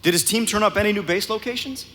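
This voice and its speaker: An actor playing an eager, confident young rebel hero in a live audio drama, with an earnest, excitable manner. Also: male voice, high-pitched, quick pace, slight background noise. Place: convention stage before a live audience. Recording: reverberant live hall sound.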